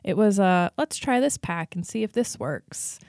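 Mellow-voiced woman in her twenties. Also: medium pitch, animated, a little slow, no room sound, studio quality. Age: 20s. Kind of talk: casual health chat.

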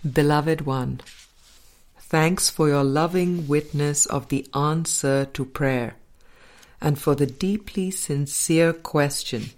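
A woman reading a spiritual book aloud as an audiobook narrator, in measured, deliberate phrases with pauses. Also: deep voice, a little mellow. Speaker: audiobook reader.

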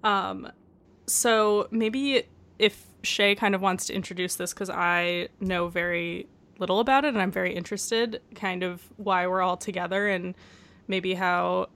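A woman's voice: on the low side, steady tone, measured pace, fairly bright tone. A young woman co-hosting a podcast, asking friendly, hedging questions. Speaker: podcast co-host. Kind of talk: casual interview chat.

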